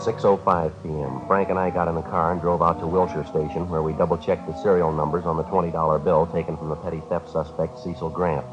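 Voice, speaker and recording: A man playing a terse, relentless American police detective in a 1950s radio drama, with clipped, deadpan delivery. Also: low-pitched, fast, few pauses, close to an everyday voice. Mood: matter-of-fact. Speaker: actor narrating and playing a detective. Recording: dull vintage radio broadcast with music.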